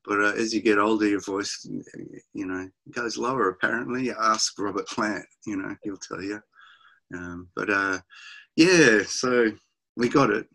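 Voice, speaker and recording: A middle-aged Australian man, a musician, chatting over a video call from his home music room with halting, restarting speech. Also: on the low side, gravelly, sharp tone, poor audio. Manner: halting, many restarts and fillers, laughs often. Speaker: musician guest.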